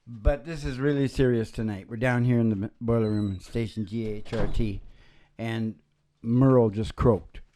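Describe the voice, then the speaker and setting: A man hosting a homemade radio show in casual, rambling banter, with halting, folksy asides. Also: medium pitch, steady tone, warm tone. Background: acoustic guitar playing.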